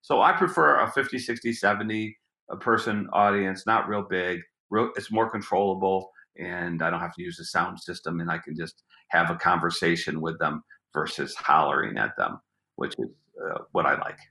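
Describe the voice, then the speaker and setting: A middle-aged man with a gruff voice, a street magician answering interview questions in a rambling way, often repeating words as he thinks. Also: deep voice, normal speed, bright, forceful tone, echoey room.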